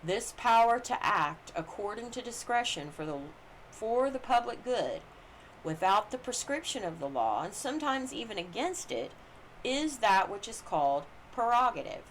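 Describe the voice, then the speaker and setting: A woman reads a scripted legal and historical commentary aloud in a deliberate, formal manner. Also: a little high, sing-song, poor audio. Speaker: reader of a book on the US Constitution. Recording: noticeable background noise.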